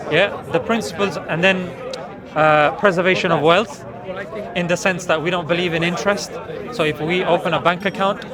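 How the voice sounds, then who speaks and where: An earnest man explains his views point by point, patient and steady, in a voice that sits a little high for a man. He speaks outdoors in a park, with a loud crowd chattering all around. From England. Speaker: street preacher debating visitors. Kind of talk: explanatory debate talk. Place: busy outdoor public park.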